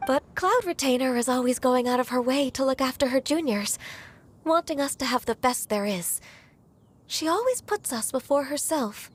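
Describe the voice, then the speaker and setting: A gentle, polite young woman official in a fantasy game. She talks softly and a little hesitantly, in a fairly high voice. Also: lively intonation, moderate pace. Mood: earnest. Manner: soft-spoken, hesitant and polite.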